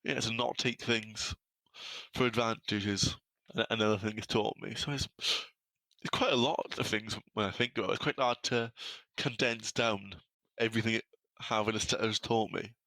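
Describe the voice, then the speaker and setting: A man's voice: lowish voice, fairly even intonation, normal speed, bright, forceful tone, no room sound, poor audio. A young man from South Wales with a Welsh accent, a podcast guest who speaks earnestly and stammers on some words. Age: young adult. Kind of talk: podcast interview.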